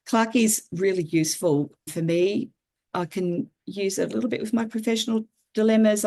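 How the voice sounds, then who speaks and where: A woman's voice: fairly low pitch, a little mellow. A woman from Australia with an Australian accent, speaking as an interview guest in a thoughtful, earnest way, explaining ideas at length. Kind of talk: casual conversational interview.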